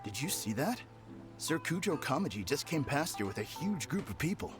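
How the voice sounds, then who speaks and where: A man's voice: fairly high pitch, sing-song, normal speed. A concerned gossiping townsman, music behind. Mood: concerned, curious. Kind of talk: video game voice acting.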